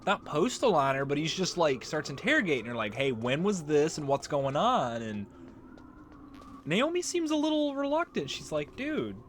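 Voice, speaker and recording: A man's voice: fairly high pitch, very animated, moderate pace, slight background noise. A man giving casual gameplay commentary as a let's play host, informal and rambling.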